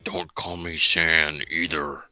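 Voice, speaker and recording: A woman voicing a stern, prickly teenage daughter in a comic script reading, slow and curt. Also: very deep voice, flat delivery, dull audio, crowd noise, pitched below the performer's own voice. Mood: curt, menacing.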